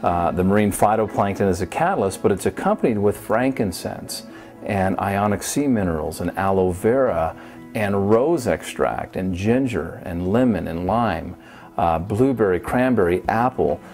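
A man's voice: on the low side, expressive, moderate pace, a little mellow, faint music behind. A man in his forties, a company executive, speaking confidently in a documentary interview. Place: indoors in a wood-paneled room.

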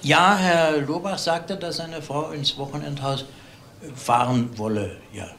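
An actor playing a flustered, deferential waiter in a crime drama, hesitant and repeating himself. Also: male voice, on the high side, measured pace, gravelly, a bit bright. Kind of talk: naturalistic German TV crime drama acting. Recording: roomy old soundtrack, faint noise.

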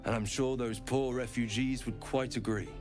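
A plain-spoken, relieved refugee man from a fantasy video game, voiced by an actor, at middle pitch. Clear music plays under him. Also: fairly even intonation, normal speed, lightly acted.

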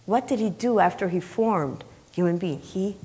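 A woman's voice: medium pitch, lively intonation, moderate pace, dark tone. Woman preaching in a reverberant hall. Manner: emphatic, conversational, with rhetorical questions and repetition. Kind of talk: sermon, teaching scripture to a congregation. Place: church sanctuary, indoors, on the platform. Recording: reverberant hall, picked up in large room.

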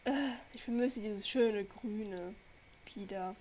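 Slow-talking young woman with a cold. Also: medium pitch, darkish tone. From Germany. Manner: chatty, rambling, talks to viewers while playing. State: has a cold. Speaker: gaming channel host. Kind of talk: casual gameplay commentary. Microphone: desk microphone.

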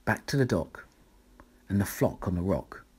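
A man reading a rhyming children's picture book aloud as a bedtime story, in a measured, dramatic way. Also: low-pitched, big pitch swings, husky.

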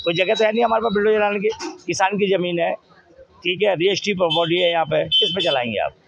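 A man's voice: high voice, fast, gravelly, background noise. A man argues his view in a street interview. He is emphatic and keeps throwing out rhetorical questions. The recording is somewhat dull, taken on a handheld mic. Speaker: local resident interviewed on the street. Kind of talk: street interview, animated opinion. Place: outdoors in a busy market street. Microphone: handheld reporter's microphone.

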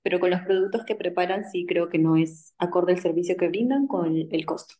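A woman's voice: on the low side, fairly quick, dark tone. A young woman explaining her opinion in an online group discussion. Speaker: focus group participant. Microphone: video call.

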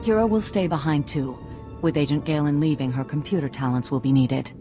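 Actress as female alien game Jedi master, deep for a woman, solemn, measured. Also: over music, natural voice. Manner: measured, formal. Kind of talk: video game character acting.

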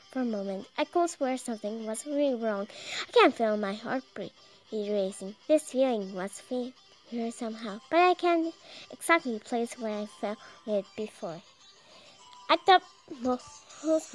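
A young woman reading a visual novel aloud, halting and stumbling over words as she narrates dramatically. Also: fairly high pitch, low-quality recording. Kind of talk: dramatic read-aloud of game text. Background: music.

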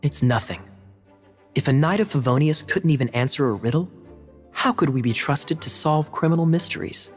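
A charming, wry young knight captain; easy teasing delivery, faint game music behind. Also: male voice, fairly high pitch, lively intonation, moderate pace, breathy edge. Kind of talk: game dialogue.

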